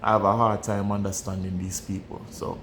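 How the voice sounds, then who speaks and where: Candid Jamaican man, low gravelly voice. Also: flat delivery, a little fast, a bit bright, slight background noise. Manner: candid and blunt, repeats phrases while thinking. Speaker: vlogger. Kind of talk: casual personal storytelling.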